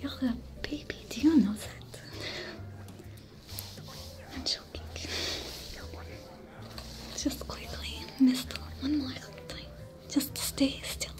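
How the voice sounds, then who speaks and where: A woman plays a caring alien smuggler who speaks in a high, breathy whisper with a French accent, close to the mic. She talks slowly and soothingly while tending wounds, with loud music playing behind. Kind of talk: whispered ASMR roleplay. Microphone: close to camera.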